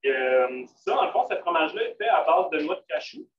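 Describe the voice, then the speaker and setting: A man's voice: on the high side, lively intonation, fairly quick. A man with a Québécois French accent leading a live vegan cooking demonstration in a casual, filler-heavy way. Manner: casual, many fillers and restarts. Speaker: cook at a vegan restaurant. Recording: roomy, distant, dull, faint noise.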